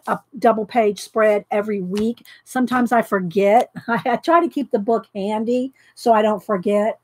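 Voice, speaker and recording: Middle-aged woman, high voice, loud and chatty craft host, laughs a lot. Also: dry recording. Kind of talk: casual live craft chat. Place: home craft room. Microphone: overhead camera pointing down at desk.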